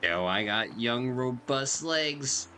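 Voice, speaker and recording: A man's voice: mid-range voice, lively intonation, slow pace, bright, forceful tone, a little hiss or hum. A man streaming a game, giving casual, joking, slangy commentary as he plays.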